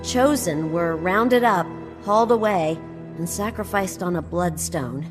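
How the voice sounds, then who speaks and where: A woman's voice: fairly low pitch, unhurried, natural voice. Wry, nerdy female explorer for a fantasy game played by a woman, bookish explainer, big reverb, music underneath. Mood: keen, scholarly. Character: wry, nerdy female explorer-scholar, quick with dark jokes. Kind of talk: scripted video game dialogue. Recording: long hall reverb, game music behind.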